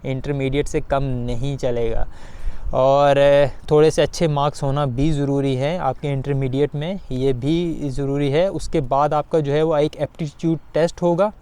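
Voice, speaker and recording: A man explains things informally to his viewers. His voice is mid-pitched, smooth and slightly mellow. He often pauses on fillers and repeats himself as he guides them through the details. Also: fairly even intonation. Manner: halting, repetitive explaining with frequent filler phrases. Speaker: video guide host.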